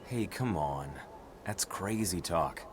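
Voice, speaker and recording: A young superhero team leader with a deep voice. He is earnest, worried and protective, in a comic-book drama with clear vehicle noise around him. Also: animated, slow pace. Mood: reassuring. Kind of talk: dramatic motion comic voice acting.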